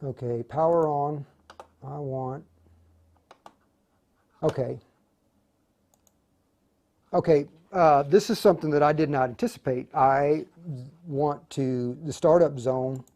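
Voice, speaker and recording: A man hosting an unscripted tech tutorial, informal and halting, often muttering to himself as he works through the steps. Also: fairly high pitch, steady tone, a little mellow. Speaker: tutorial host demonstrating radio programming. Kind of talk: unscripted livestream tutorial, thinking aloud.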